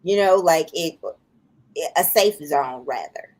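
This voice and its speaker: A woman co-hosting a podcast, talking in a thoughtful, halting way with many restarts. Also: low voice, lively intonation, darkish tone. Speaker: podcast co-host. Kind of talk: casual chat.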